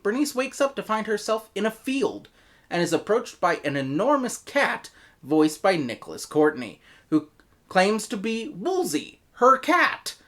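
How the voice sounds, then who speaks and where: An American woman reads a scripted review in a notably low voice. Her intonation swings widely and expressively. She leans hard on key words with playful, exaggerated exasperation. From United States. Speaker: audio drama reviewer.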